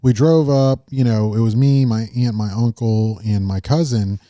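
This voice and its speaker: A man with a mellow, middle-pitched voice co-hosting a casual podcast. He wanders through his stories with plenty of "like" and restarts, in a dry, close recording. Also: fairly even intonation, normal speed, no room sound. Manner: rambling, many fillers and restarts. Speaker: podcast co-host. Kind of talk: casual chat.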